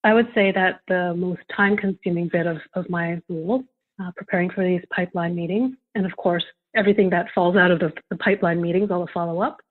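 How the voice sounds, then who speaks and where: A young adult woman from Canada, a guest answering interview questions in a measured, explanatory way. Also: on the low side, fairly even intonation. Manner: measured, explanatory, occasional soft laugh. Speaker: guest, solo prospect researcher. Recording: tinny phone-like line.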